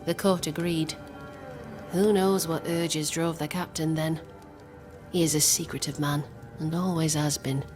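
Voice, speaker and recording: An actress playing a composed, resolute plotter in a video game, speaking in formal, measured phrases. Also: female voice, low voice, fairly even intonation. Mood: serious, guarded. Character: composed, resolute woman plotting against a captain. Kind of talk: video game cutscene acting. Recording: prominent music underneath.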